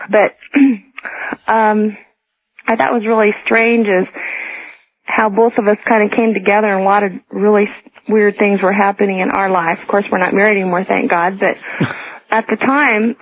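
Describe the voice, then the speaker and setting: A woman calling a radio show, telling a rambling personal story in a casual, halting way. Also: medium pitch, dry recording. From United States. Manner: halting, often clears her throat. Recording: tinny, narrow telephone line.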